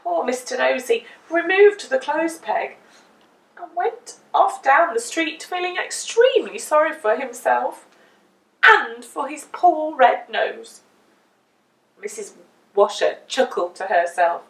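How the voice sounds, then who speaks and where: A woman telling a children's story in an expressive, deliberate way with dramatic emphasis. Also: sits high, vocal fry. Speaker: storyteller reading a children's book. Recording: roomy echo, distant microphone.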